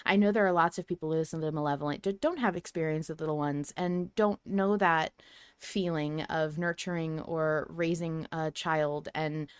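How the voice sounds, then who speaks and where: A woman's voice: deep voice, normal speed, smooth, dry recording. A woman hosting a podcast in casual discussion, chatty and candid, with some casual swearing. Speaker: podcast host.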